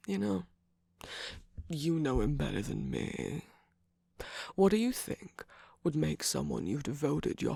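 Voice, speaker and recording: Man voicing a slow, husky, teasing villain's minion. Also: on the high side, a little mellow, dry recording. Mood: annoyed, jealous. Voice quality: breathy, gravelly. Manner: drawling, teasing, breathy delivery dropping into whispers. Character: teasing, jaded, smug male villain's minion cookie. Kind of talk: romantic ASMR roleplay. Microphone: close microphone, dry sound.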